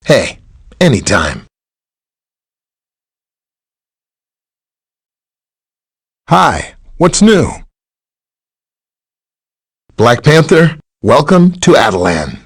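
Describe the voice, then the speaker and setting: A man's voice: mid-range voice, husky, full character voice. A man playing a friendly, easygoing superhero scientist in a video game, explaining things in a casual, conversational way. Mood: friendly greeting. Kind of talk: video game character dialogue, briefing and greeting.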